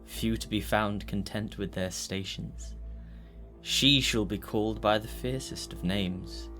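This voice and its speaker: A man playing an ominous reciter of prophecy in an audio drama, solemn, measured and portentous. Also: on the low side, a bit bright. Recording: faint music behind the voice.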